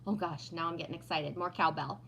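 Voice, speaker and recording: A woman's voice: fairly low pitch, steady tone, brisk, gravelly, sharp tone, poor audio. A woman in her fifties from Texas chats casually on a livestream. She teases in a playful way and laughs often. A faint noise sits under her voice. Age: 50s. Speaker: livestream host reading chat.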